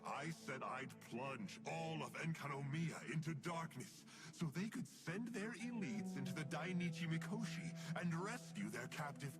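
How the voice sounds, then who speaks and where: A man playing a sly, flippant young traitor from a dark order in a game, speaking in a teasing, offhand manner. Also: mid-range voice, close to an everyday voice. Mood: explaining. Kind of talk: game voice acting. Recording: game audio with loud music.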